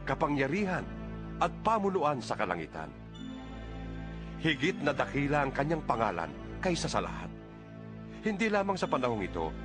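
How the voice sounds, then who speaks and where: A man voicing a solemn, devout apostle in a dramatized scripture reading, with declamatory delivery. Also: a little high. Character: solemn, devout male apostle reading his letter aloud. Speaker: audio Bible narrator. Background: music.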